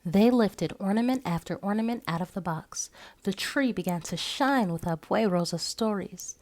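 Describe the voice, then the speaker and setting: A woman storyteller narrating a children's picture book aloud in an expressive style. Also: medium pitch, moderate pace.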